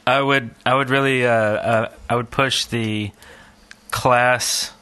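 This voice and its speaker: Bright-voiced man chatting casually. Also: mid-range voice, fairly even intonation, slow pace, clean tone, faint music behind. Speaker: podcast co-host. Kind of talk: casual gaming podcast chat.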